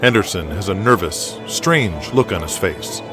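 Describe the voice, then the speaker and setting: A low-pitched man narrates an audio drama in scripted, dramatic prose. He sets scenes in the present tense, with music clearly playing beneath him. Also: lively intonation, unhurried.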